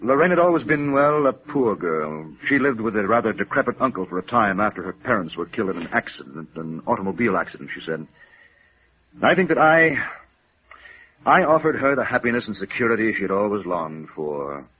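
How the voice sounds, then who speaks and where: An actor playing a genteel, evasive company president in a 1950s radio drama, formal and hesitant, on a vintage recording. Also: male voice, fairly low pitch, sing-song, fairly quick, husky, highs cut off. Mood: wistful, hesitant. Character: genteel, evasive, wistful male brokerage president.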